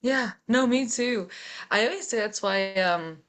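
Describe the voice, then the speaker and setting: A young adult woman, a metal singer, talking openly as an interview guest in a relaxed, chatty way with frequent fillers. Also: a little high, a little slow. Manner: relaxed, open storytelling with fillers. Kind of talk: casual interview chat.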